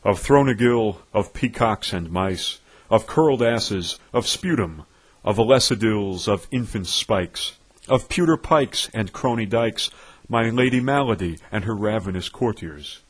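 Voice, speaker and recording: A man recites verse with grand, pompous theatricality. His voice is husky and rough-edged, and he takes his time over each phrase, leaning on words for dramatic weight. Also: fairly low pitch, lively intonation, a little slow. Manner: pompous, declamatory, with dramatic emphasis. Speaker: poetry reader.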